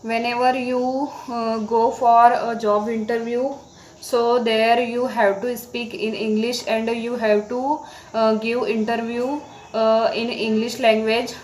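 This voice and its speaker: A woman with an Indian accent and Marathi as her native language, speaking halting, simple learner's English in an informal talk to viewers. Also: fairly high pitch, little pitch movement, low-quality recording. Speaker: English-practice vlogger. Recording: background noise.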